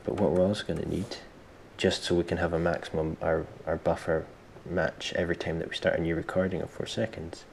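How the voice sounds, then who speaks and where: A man presenting a software tutorial step by step, informally thinking aloud as he explains. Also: sits low, level tone, a little fast, gravelly, hardly any pauses, a little hiss or hum. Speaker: tutorial host.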